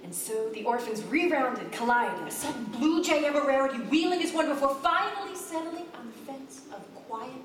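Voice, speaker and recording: A woman's voice: high-pitched, animated, moderate pace, few pauses. A woman giving a dramatic, poetic scripted story narration live on stage. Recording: distant, echoey, noisy live theater recording.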